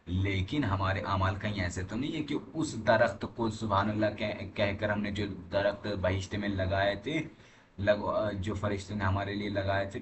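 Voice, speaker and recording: A man giving a religious lesson, reading aloud and explaining in an emphatic, didactic manner as a teacher. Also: lowish voice. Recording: clearly noisy recording with background ambience.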